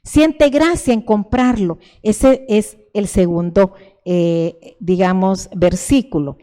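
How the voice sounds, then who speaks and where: A woman's voice: mid-range voice, slow pace, warm tone. An older woman from El Salvador with a Salvadoran Spanish accent, teaching a church sermon in a fervent, repetitive way, often restarting her words. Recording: long reverb in a church hall.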